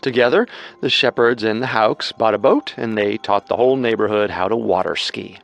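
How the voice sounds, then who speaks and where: A man reads scripted true-crime narration as a co-host. His pitch sits somewhat low. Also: normal speed, creaky, fairly bright tone, soft background music.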